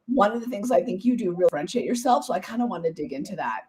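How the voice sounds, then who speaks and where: A woman hosting a podcast interview, her voice hoarse and partly gone, talking in a bubbly, enthusiastic, chatty way. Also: mid-range voice, animated, racing, darkish tone. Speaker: podcast host.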